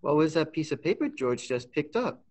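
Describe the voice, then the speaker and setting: A man's voice: a little high, sing-song, moderate pace, a little mellow. A teenage boy playing an eager, fussy, naive old apprentice angel in a staged radio play. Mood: curious, concerned.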